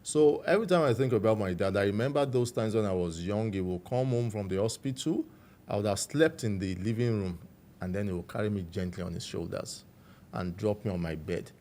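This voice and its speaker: A man with a Nigerian accent, a guest recounting his life story in a measured, reflective way. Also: lowish voice. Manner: measured, warm storytelling with frequent "you know".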